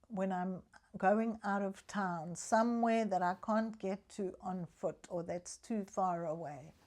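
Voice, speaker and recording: A woman's voice: medium pitch, a little mellow. An older woman from Africa, chatting candidly in a personal vlog about living on her pension. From South Africa. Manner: chatty and candid, talks frankly about money. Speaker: retiree vlogger.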